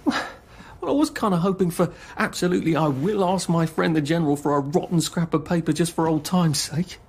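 A man's voice: on the high side, brisk, a little mellow, husky. An actor with a British accent playing an awkward, evasive, self-mocking Englishman in a video game, stammering and hesitant. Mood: sardonic, sheepish. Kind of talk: naturalistic video game acting.

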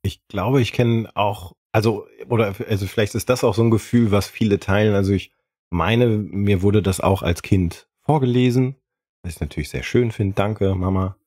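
Man, fairly low pitch, dry recording, tentative, casual podcast talk. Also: normal speed, a little mellow, no echo. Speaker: podcast host.

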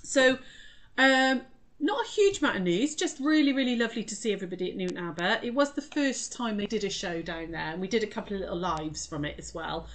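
A woman's voice: medium pitch, expressive, fairly quick. A woman in her forties who is full of cold, her voice snuffly and stuffed up. She chats in a bubbly, friendly way, greeting viewers one by one as she hosts a sewing tutorial. She is picked up by a desk webcam in her home craft studio. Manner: bubbly, greets viewers by name, laughs often. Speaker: craft shop owner hosting a live sewing tutorial. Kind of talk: chatty live stream.

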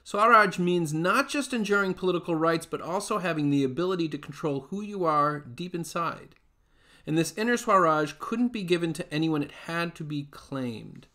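A man in his forties reads nonfiction aloud in a fairly high voice. His phrasing is measured and deliberate, in the style of an author presenting his own book. He is recorded at home, at arm's length from the microphone. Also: bright, forceful tone. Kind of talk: read-aloud nonfiction narration. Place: small furnished room at home, indoors.

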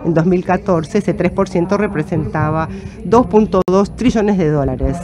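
A middle-aged woman, a native Portuguese speaker, giving a measured, formal talk as a conference panelist into a handheld microphone. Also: fairly low pitch. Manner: measured, cites figures steadily. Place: conference stage, indoors. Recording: conference hall with audience murmur. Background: audience crowd.

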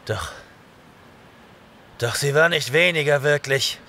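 Guy as young barbarian in a radio play, creaky slow voice, worn out n intense, bit of ambience behind. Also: fairly high pitch, pushed and bright, a little hiss or hum, exaggerated voice. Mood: insistent. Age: young adult. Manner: brooding, halting delivery. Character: young barbarian warrior, exhausted, dazed, intense. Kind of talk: audio drama acting.

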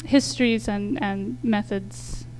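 A woman's voice: medium pitch, steady tone, slow pace, darkish tone, slight background noise, rough recording. A woman moderating a panel discussion, asking long casual questions full of you-knows. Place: stage of a lecture hall before an audience.

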